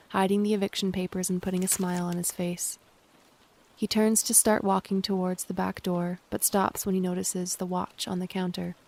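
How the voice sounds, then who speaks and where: A woman's voice: mid-range voice, gravelly, darkish tone. A woman reading scripted stage directions as the narrator of an audio drama.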